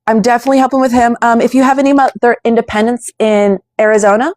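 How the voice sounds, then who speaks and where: A woman's voice: fairly high pitch, fairly even intonation, fast, a little mellow, dry recording, clean studio sound. Emphatic female podcast host. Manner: emphatic, persuasive. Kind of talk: casual political interview. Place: at home.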